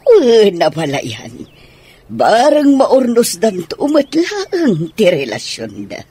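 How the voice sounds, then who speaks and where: A woman playing an excitable mother gossiping with an in-law in an Ilocano radio drama. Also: a little high, extremely slow, long pauses, slightly rough, full character voice.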